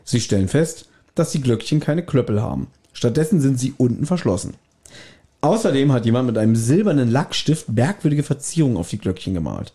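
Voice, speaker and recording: A man's voice: mid-range voice, very animated, fairly quick, mellow. A man hosting a podcast, giving a casual recap partly read from his notes, with playful irony. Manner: playfully ironic. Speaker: podcast host.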